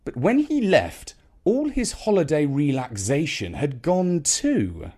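Slow, very animated male storyteller. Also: fairly high pitch, slightly rough. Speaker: story narrator. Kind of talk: expressive scripted children's story narration.

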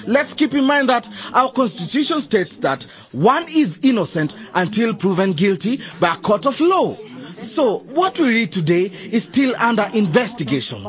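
An actor playing a genial, formal master of ceremonies in a radio drama, addressing a meeting in a halting, ceremonious way. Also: male voice, sits high, very animated, a bit bright. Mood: appeasing. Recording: noisy crowd behind the voice.

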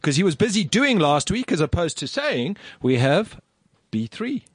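A man's voice: high-pitched, big pitch swings, moderate pace, breathy edge, dry recording. A man co-hosting a studio radio show, stammering and restarting his sentences as he chats. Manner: stammers and restarts, laughs easily. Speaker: radio co-host. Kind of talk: casual radio chat.

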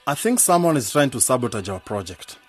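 Husky, hot-headed young man. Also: medium pitch, very animated, a little fast, soft background music. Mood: suspicious. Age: young adult. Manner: blunt, insistent. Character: hot-headed, suspicious young male graduate activist. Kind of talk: radio drama acting.

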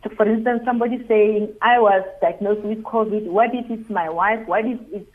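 A woman with a South African accent, a psychologist guest explaining things in a measured way. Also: mid-range voice, fairly even intonation. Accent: South African English. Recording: tinny phone line.

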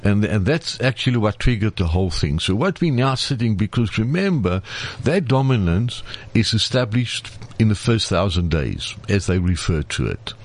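Low-voiced man explaining. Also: very animated, moderate pace, hardly any pauses. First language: Afrikaans. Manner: explanatory, lecturing at length. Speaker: professor, guest expert. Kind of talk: conversational interview.

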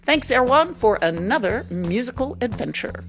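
A woman's voice: a little high, big pitch swings, moderate pace. A woman hosting a radio show, introducing music from a prepared script.

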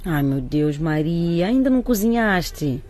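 A woman's voice: on the low side, expressive, measured pace, darkish tone. A woman playing a proud, stubborn, hard-working mother in a radio drama, brusque and indignant in her delivery. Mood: scolding, indignant. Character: proud, stubborn, struggling market-vendor mother, scolding and suspicious.

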